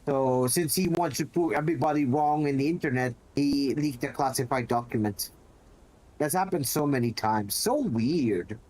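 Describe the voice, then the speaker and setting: A man's voice: mid-range voice, normal speed, darkish tone, poor audio. A man with a Filipino accent, raised in the Philippines, casually chatting and swearing as he narrates his tank gameplay. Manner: chatty, profane, thinking aloud while playing. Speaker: streamer.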